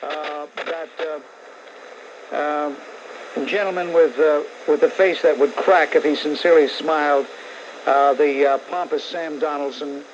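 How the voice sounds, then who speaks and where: Blunt, sarcastic New York radio host. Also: male voice, a little high, fairly even intonation, measured pace. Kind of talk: combative call-in radio talk. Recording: dull 1980s AM radio recording, faint hiss.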